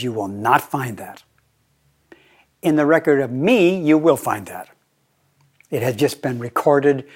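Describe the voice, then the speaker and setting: An older American man giving a Zen dharma talk, playful and deliberate, often slowing down and repeating his phrases. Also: medium pitch, slightly rough. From United States. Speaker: Zen teacher.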